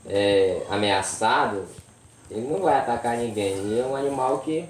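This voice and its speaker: A woman's voice: very deep voice, moderate pace, darkish tone, roomy sound, far from the mic, slight background noise. A woman from a riverside community, speaking earnestly in an interview. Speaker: community resident.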